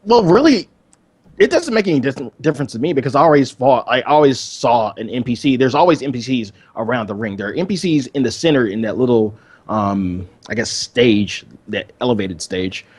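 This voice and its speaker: Man, raspy voice, average pitch, casual podcast chat, hesitant repeated phrasing. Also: lively intonation, fairly quick. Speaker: podcast co-host.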